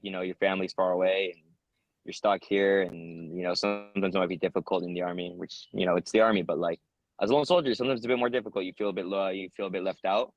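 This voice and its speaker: Young American guy, low and quick, earnest interviewee full of fillers. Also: dry recording, lo-fi sound. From United States. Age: young adult. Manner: earnest, rambling, many ums and uhs. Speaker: interviewee, soldier. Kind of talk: casual interview answers.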